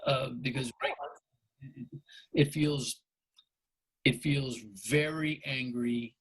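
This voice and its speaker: A man's voice: medium pitch, fairly even intonation, takes it slowly, husky, rough recording. A man hosting a radio talk show, bluntly challenging his guest and often restarting his sentences. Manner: restarts and stumbles over words.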